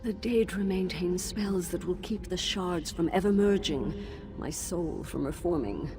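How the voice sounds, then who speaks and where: An actress playing a formal, measured elf woman, a tormented mage teacher's soul in a fantasy game. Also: lowish voice, natural voice. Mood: grave, weary. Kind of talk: scripted fantasy game dialogue. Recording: background music under the voice.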